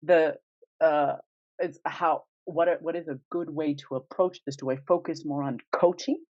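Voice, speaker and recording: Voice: medium pitch, steady tone, unhurried, a little mellow, no room sound. A podcast co-host with a mid-range voice talks casually, working through ideas aloud in winding, lightly amused questions. The sound is dry and close, with a slightly dull top end. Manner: thinks aloud in rambling questions, chuckling lightly.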